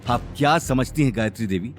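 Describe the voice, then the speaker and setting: A man's voice: mid-range voice, expressive, a little fast, soft background music, natural voice. A man voicing an angry, contemptuous company executive in an audio drama, scornful, emphatic and commanding. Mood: stern, threatening. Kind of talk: Hindi audio drama acting.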